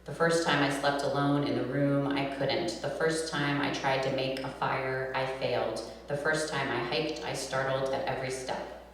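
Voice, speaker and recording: A woman's voice: very deep voice, steady tone, moderate pace, few pauses. An American woman with a nasal voice, giving a live poetry reading and introducing poems casually in her own words. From New York City, USA. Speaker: poet reading own work. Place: reverberant room at a live reading event. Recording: echoey hall, distant mic, humming noise.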